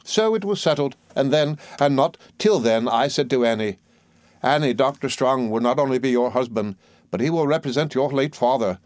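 A man's voice: medium pitch, moderate pace, darkish tone, no room sound, voice pushed up, close to an everyday voice. A man reading aloud, voicing a fussy, garrulous older mother who is pleased with herself. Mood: self-satisfied. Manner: gushing, emphatic, self-important.

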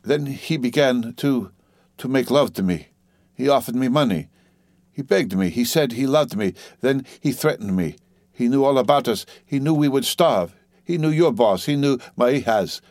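A man voicing a desperate, ashamed young wife in an audiobook, pleading and halting as the words come out. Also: mid-range voice, lively intonation, darkish tone, dry recording, clean studio sound, natural voice. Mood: halting, fearful confession. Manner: wailing, halting, gasping, repeating pleas. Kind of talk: dramatic audiobook character reading.

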